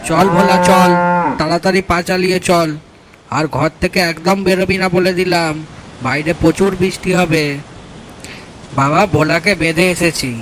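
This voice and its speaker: A man's voice: sits high, steady tone, moderate pace, noisy background, close to an everyday voice. A man voicing a dutiful, chatty village boy in a cartoon, with a simple, childlike way of talking. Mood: hurried. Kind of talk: cartoon dialogue and verse recitation. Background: background music.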